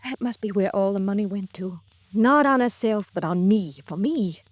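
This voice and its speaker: An actress playing a distraught, fragile American widow in an old-time radio drama, with a halting, emotional delivery. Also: female voice, medium pitch, expressive, no echo, lightly acted. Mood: sorrowful. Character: fragile, distraught grieving widow, self-blaming and defensive.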